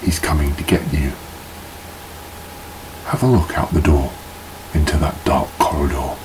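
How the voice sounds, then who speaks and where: A sinister otherworldly tormentor from a horror radio play. The voice is extremely deep and drags slowly, taunting and full of menace. Clear hiss sits behind every pause. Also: male voice. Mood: menacing taunting. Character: sinister male supernatural entity phoning in to torment. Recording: noisy, hissy phone-in line.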